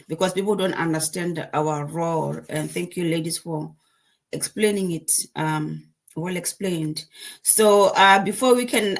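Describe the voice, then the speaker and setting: Woman from Botswana, lowish voice, hesitant, filler-heavy, hurried, video call host. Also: a bit bright. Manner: hurried, halting with many fillers. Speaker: host, digital business mentor. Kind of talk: live-stream chat.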